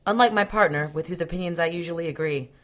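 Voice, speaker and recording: A woman's voice: fairly low pitch, fast, a bit bright, natural voice. A woman playing a level-headed police detective in an audio drama, blunt and no-nonsense in her delivery. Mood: frank, exasperated.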